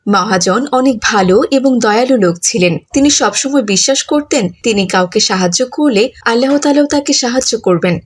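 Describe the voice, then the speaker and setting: A woman with a fairly high, husky voice tells a cartoon story. She reads it as scripted narration. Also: lively intonation, a little fast.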